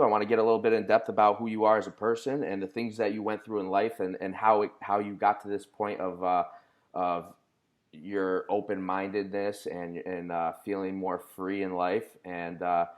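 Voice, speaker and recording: Lower-voiced earnest podcast host, a man. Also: steady tone. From Connecticut, USA. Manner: earnest, halting, lots of restarts. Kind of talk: casual interview.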